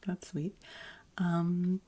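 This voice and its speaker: A woman with a deep, husky voice chats gently as an ASMR host, soft-spoken and often whispering. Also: completely flat delivery. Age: adult, past college. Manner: slow, gentle, soothing delivery.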